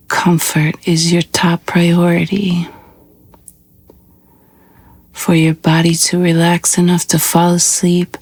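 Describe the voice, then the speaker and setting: Woman doing a sleep meditation, super slow and flat, husky gravelly voice, soft and hushed. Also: lowish voice, no room sound. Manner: slow, soothing, hushed delivery with long pauses. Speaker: yoga nidra meditation guide. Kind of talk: guided sleep meditation, scripted.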